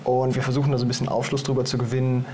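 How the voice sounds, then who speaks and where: A man's voice: mid-range voice, completely flat delivery, racing, a little mellow, slight background noise. A young man from Germany giving casual interview answers, explaining things informally step by step. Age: young adult. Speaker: podcast guest, marine biologist.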